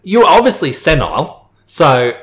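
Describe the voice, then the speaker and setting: A man's voice: on the high side, huge pitch swings, a little slow, fairly bright tone, rough recording. A man co-hosting a film podcast, ranting bluntly and swearing in casual chat. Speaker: podcast co-host.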